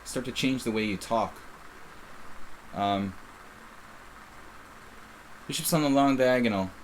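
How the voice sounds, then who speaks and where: A man's voice: medium pitch, slow, a little hiss or hum, rough recording. A middle-aged man with a faint Philadelphia accent, casually commenting on his own live chess game in a rambling, self-interrupting way. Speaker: chess streamer.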